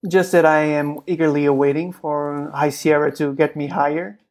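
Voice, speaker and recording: Laughing, excitable man co-hosting a podcast. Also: a little high, normal speed. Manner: animated, laughs often, excitable outbursts. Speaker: podcast co-host. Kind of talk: casual tech podcast chat.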